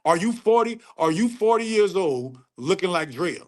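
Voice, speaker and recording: A middle-aged man on a casual livestream rant, preachy and profane, repeating phrases for emphasis and climbing sharply in pitch when he gets heated. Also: expressive, normal speed. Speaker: livestream host answering chat.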